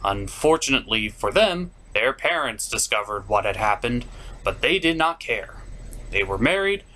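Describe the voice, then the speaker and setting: A man voicing an excitable, sentimental puppet who hosts a cartoon review show with emphatic, theatrical delivery. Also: mid-range voice, moderate pace, rough recording. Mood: animated storytelling. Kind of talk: lively semi-scripted review commentary.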